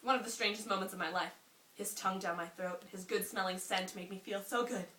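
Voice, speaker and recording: A young woman reading a story aloud to a friend in an amused, expressive way, often breaking off for asides. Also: mid-range voice, brisk. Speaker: reader. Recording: roomy, distant mic with faint noise.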